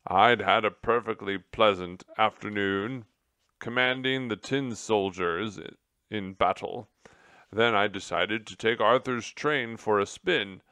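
A man's voice: fairly low pitch, clear, resonant tone, dry recording. Man doing a blustering, pompous mouse general. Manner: loud, drawn-out, blustering complaints. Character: pompous, indignant male mouse general, petulant and wretched.

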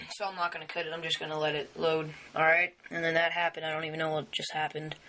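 A man's voice: on the high side, little pitch movement, fast, sharp tone. A young man who is losing his voice, walking viewers through a phone setup in a casual, rambling way with many fillers. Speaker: tech video maker. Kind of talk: casual screen walkthrough. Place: indoors at a wooden table. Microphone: camera pointed down at a device on a table.

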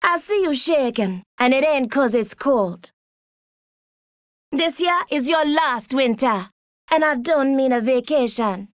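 A tough woman fighter from a video game, high in pitch and unhurried. She threatens with cool confidence. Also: expressive, no echo, clean studio sound. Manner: confident, deliberate. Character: tough, taunting female fighter, coolly threatening. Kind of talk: video game taunt lines.

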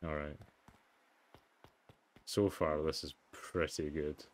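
A man doing low-key let's play commentary, reading story text aloud and muttering asides. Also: flat delivery, slow pace. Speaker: gamer, let's player. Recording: music behind the voice. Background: game music.

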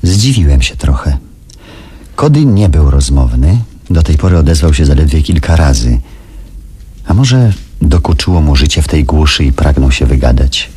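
A calculating, ambitious man narrates a radio drama. His voice is very deep and dark, with a husky grain. He confides the story in a measured way. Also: sing-song, full character voice. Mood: measured, watchful. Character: Frank, calculating ambitious businessman recounting events in first person.

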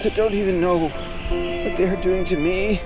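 A man in an amateur radio drama playing Jesus dying on the cross, forgiving and agonized, with halting, labored speech. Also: sits high, fairly even intonation, loud music behind the voice. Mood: pained, forgiving. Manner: slow, halting, labored delivery.

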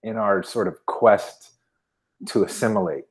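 A man's voice: lowish voice, measured pace. A man in his thirties chatting informally and anecdotally as a podcast guest over a video call. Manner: informal, anecdotal, frequent "you know". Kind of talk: casual reflective conversation. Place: indoor room at home, video call. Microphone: wired earbuds on a video call.

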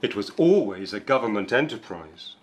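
A middle-aged man, a poet, giving a deliberate, solemn reading of his work in a small cafe. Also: lowish voice, sing-song. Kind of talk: dramatic poetry reading for two voices. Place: small indoor cafe with bookshelves.

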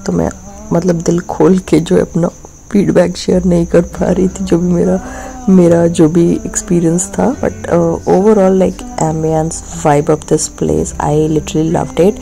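A young Indian woman narrates a casual food vlog. Her voice is dark and mellow with a creaky edge, and she mixes two languages at an unhurried pace. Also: on the low side, flat delivery, low-quality recording. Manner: mixes Hindi and English. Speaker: food vlogger.

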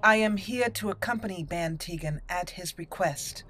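A woman hosting a roleplay playthrough, musing through her commentary and deliberately voicing dialogue choices. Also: on the low side, fairly bright tone, soft background music. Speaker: gaming channel host. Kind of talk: roleplay game commentary, reading dialogue in character.

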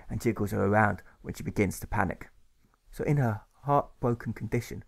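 Man narrating a rhyming audiobook. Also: on the low side. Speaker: author reading his own audiobook.

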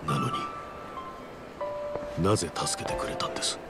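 An actor playing a calculating agent of a shadowy organisation in a video game cutscene, formal, composed and drily polite. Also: male voice, moderate pace, close to an everyday voice. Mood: probing, polite. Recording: loud music under the voice.

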